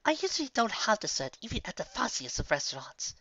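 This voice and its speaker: A man's voice: high voice, huge pitch swings, a little fast, bright, forceful tone, no echo, lo-fi sound, pitched above the performer's own voice, heavily acted. A man voicing a refined, chatty young female unicorn with genteel, formal manners in a story reading. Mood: chatty, indulgent.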